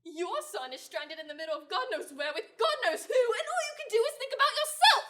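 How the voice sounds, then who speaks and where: A young woman acting a sharp-tongued, haughty royal lady in a radio play, dramatic and scornful. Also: very high-pitched, fairly quick. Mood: furious. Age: teenager.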